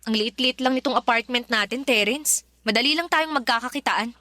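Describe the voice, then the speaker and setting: High, bright exasperated girlfriend. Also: brisk, no echo. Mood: stressed. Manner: nagging, reproachful. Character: exasperated, hardworking girlfriend, practical and fed up. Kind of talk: radio drama acting in Filipino love story.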